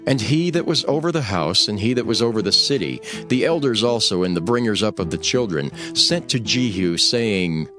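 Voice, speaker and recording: A man narrating a dramatized scripture reading in a solemn, measured storytelling manner. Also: on the low side, animated, music in the background.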